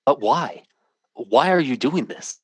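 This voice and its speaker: A man's voice: mid-range voice, expressive, slow pace, slightly rough, no echo. A man playing an earnest, troubled, intense hero in an old-time radio drama. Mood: questioning, alarmed. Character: earnest, troubled man telling a fantastic story.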